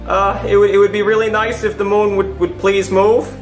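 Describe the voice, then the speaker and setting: A man playing a nervous, earnest official who gives a halting, stammering press conference in a comedy skit. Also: high voice, flat delivery, lightly acted. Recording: roomy echo with faint music.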